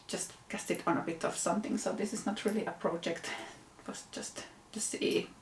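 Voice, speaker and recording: A woman's voice: medium pitch, darkish tone, a little hiss or hum. Finnish woman chatting in an echoey room. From Finland. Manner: chatty, with frequent fillers and self-corrections. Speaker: knitting podcast host. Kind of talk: casual show-and-tell chat.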